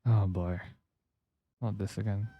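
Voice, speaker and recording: A man's voice: lowish voice, steady tone, unhurried, narrow, tinny audio. A man livestreaming a game with casual, emotional, apologetic reactions, speaking close to the mic.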